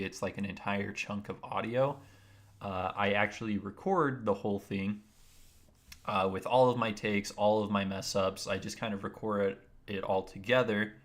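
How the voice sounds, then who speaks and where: A man with a naturally deep voice, explaining his video editing steps one by one in a casual, rambling livestream. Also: a bit bright. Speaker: streamer.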